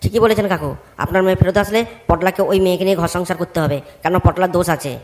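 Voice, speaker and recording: A man's voice: sits high, fast, a little hiss or hum, natural voice. A man voicing a blunt village man in a comedy cartoon, scolding and judging his neighbour in crude words. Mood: firm.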